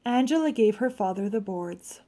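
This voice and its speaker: A woman reading a children's story aloud in an expressive, narrating style. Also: mid-range voice, moderate pace. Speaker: storybook reader.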